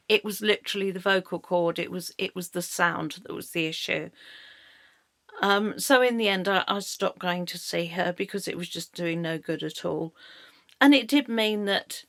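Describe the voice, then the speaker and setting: A middle-aged British woman with a slightly croaky voice, telling her own story in a halting way as she searches for words. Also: medium pitch, a bit bright, no room sound, clean studio sound. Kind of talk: personal storytelling monologue.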